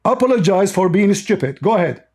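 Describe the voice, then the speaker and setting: A man's voice: high-pitched, lively intonation, fairly quick, darkish tone, husky. A man with an Arabic accent in a heated live debate, speaking in an insistent, mocking and insulting manner.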